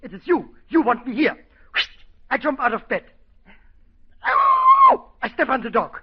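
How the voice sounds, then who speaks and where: Excitable high-pitched French violinist. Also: male voice, a little slow, husky, exaggerated voice. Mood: comic pleading. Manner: theatrical, protesting, broken English. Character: excitable, vain French orchestra violinist, comically indignant. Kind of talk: 1940s radio comedy acting. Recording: muffled vintage 1940s radio broadcast.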